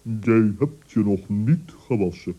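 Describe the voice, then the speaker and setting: A fussy stern owl. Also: male voice, on the low side, lively intonation, takes it slowly, mellow, husky, muffled recording, full character voice. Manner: pompous, fussy, pedantic. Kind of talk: 1960s radio play acting.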